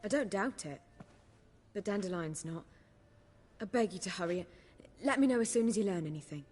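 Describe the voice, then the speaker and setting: An actress playing a sharp-tongued, worldly woman in a video game, with a sardonic, dry manner. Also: mid-range voice, animated, moderate pace, in a large hall, faint music behind, lightly acted. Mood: worried, grim.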